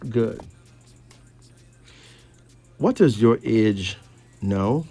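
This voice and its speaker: A man from Barbados with a Caribbean accent, hosting a late-night radio show in a measured, deliberate way with pauses between phrases. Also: fairly low pitch, expressive, warm tone. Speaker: radio host giving readings to callers. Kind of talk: late-night radio talk, slow monologue and caller chat. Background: faint music.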